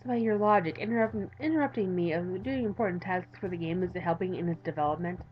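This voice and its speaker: A woman playing a weary male game developer in a visual novel, with the voice dropped low. He is deadpan, condescending and exasperated, heard through a slightly dull mic. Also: quick pace, a little hiss or hum, natural voice. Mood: stern.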